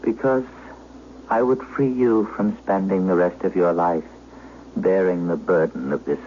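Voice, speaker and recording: An actor playing a frail, dying old shepherd, speaking in a weary, solemn rustic manner in an old radio drama. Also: male voice, low voice, measured pace. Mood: confessing, failing. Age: elderly. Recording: dull vintage radio, faint hiss.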